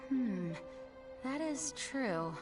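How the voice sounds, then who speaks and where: Game knight leader: composed, formal, resolute, slightly high register, music underneath. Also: female voice, expressive, drawn-out words, exaggerated voice. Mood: concerned, resolute. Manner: formal, earnest, clear commands. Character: composed, dutiful female knight commander. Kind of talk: video game voice acting. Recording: game audio, somewhat distant, under music.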